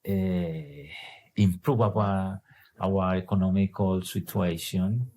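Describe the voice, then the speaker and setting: A man's voice: low voice, takes it slowly, warm tone, slight background noise. A man from Colombia, answering interview questions hesitantly with many filler sounds. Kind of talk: casual conversation.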